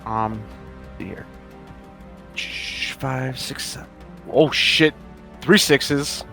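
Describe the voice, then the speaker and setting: A man's voice: mid-range voice, very slow, vocal fry, sharp tone, soft background music. A man playing a tabletop roleplaying game, chatting casually with plenty of slang and swearing. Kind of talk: casual chat.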